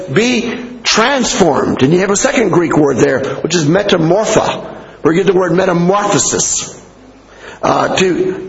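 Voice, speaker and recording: A middle-aged American man preaching a sermon in an animated, conversational way. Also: sits high, vocal fry. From United States. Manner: animated, conversational, acting out asides to listeners. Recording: echoey hall with long reverb, muffled.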